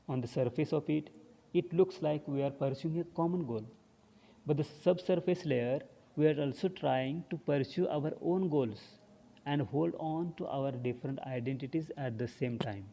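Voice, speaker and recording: A man's voice: medium pitch, creaky, warm tone, big-room sound, slight background noise. A man with an Indian English accent giving a university lecture, explaining step by step and posing and answering his own questions.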